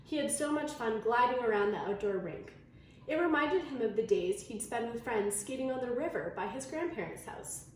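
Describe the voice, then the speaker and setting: Female storybook reader, highish expressive voice, lively intonation. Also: moderate pace. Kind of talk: animated read-aloud of a children's story. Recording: very echoey room, faint noise.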